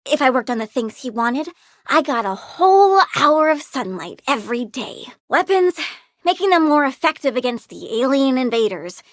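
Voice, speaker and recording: A woman's voice: high voice, very animated, normal speed. An actress playing a childlike plant-girl villain in a video game, girlish and playful, petulant, gleefully menacing and doting on her plants. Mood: blunt, matter-of-fact. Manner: playful, petulant, swings from cooing to threats. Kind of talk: video game character voice lines.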